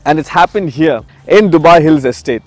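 A man's voice: fairly high pitch, animated, moderate pace, no echo. A man giving an emphatic, salesy promotional presentation as a real estate agent, putting questions to the viewer.

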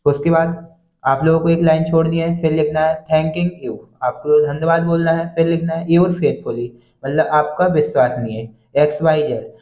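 An Indian man giving an instructional lesson to students as a coaching teacher, reading phrases slowly and then explaining them. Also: a little high, steady tone. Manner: repeats a check-in phrase to students. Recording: dull, roomy echoing sound.

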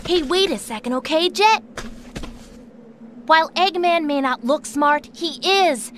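A woman voicing a shrewd, bossy young female bird in a video game, brisk and superior in manner. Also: high-pitched, fairly bright tone, slight background noise. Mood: shrewd, persuasive. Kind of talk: video game cutscene acting.

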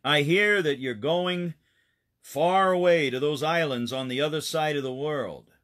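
A man's voice: a little high, a little slow, fairly bright tone, voice pushed up. An elderly, devout man from a children's storybook, voiced by a male reader. He is alarmed and discouraging, and he speaks in blunt, emphatic warnings.

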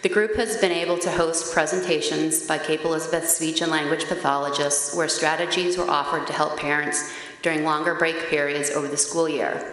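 A woman in her forties with a loud, carrying voice, reading a prepared statement at a podium microphone in a town meeting chamber. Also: low voice, fairly quick, few pauses. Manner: earnest, steady reading with occasional hesitant fillers. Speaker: parent group facilitator at a public meeting. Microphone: gooseneck podium microphone. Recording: echoey hall, faint background hiss.

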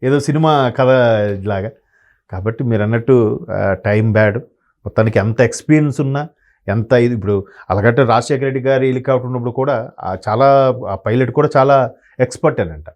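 A man's voice: mid-range voice, brisk, pauses often, a little mellow. A man speaking as an analyst guest, talking in a rambling, sombre way with many restarts. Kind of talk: conversational commentary.

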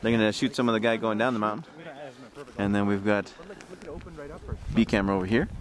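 A man's voice: on the low side, steady tone, fairly quick. A man vlogging his day as a photographer, chatting casually and joking at his own expense outdoors on a ski mountain, filmed with a handheld camera. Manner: joking, self-mocking, chatty. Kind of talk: casual behind-the-scenes vlog. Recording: loud outdoor noise behind voice.

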